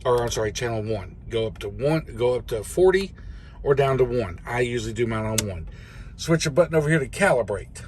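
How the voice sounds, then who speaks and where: Clear vehicle noise sits behind a middle-aged American man explaining things casually from a truck cab. His voice is medium in pitch, and he talks in a folksy, plain-spoken way, like a working driver showing others the ropes. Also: expressive, fairly quick, a little mellow. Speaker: truck driver giving a tutorial.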